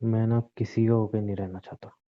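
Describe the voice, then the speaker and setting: A man's voice: fairly low pitch, level tone, brisk, close to an everyday voice. Man acting as bitter, angry husband, muffled recording. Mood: bitter, hurt. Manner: curt, confrontational, repeats himself. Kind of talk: Hindi audio relationship drama, naturalistic dialogue. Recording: dull lo-fi recording.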